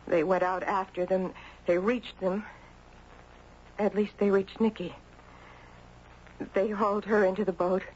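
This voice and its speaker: A woman playing a grieving mother in a 1970s radio drama, telling her story in a halting, dramatic way. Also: mid-range voice, flat delivery, darkish tone, a little hiss or hum, lightly acted. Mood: grieving, haunted. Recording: dull 1970s radio broadcast, faint music.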